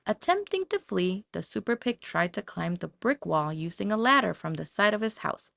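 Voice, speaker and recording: A woman narrating a children's story from a script in a dramatic, expressive storytelling manner. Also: medium pitch, normal speed, creaky, no echo, clean studio sound. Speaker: audiobook narrator.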